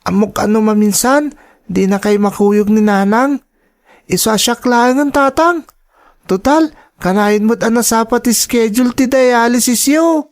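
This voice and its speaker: A woman's voice: a little high, a little slow. Anxious, dutiful Ilocano student voiced by a woman. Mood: apologetic. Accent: Ilocano Filipino. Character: dutiful, anxious student child devoted to struggling parents. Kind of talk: Ilocano audio drama acting.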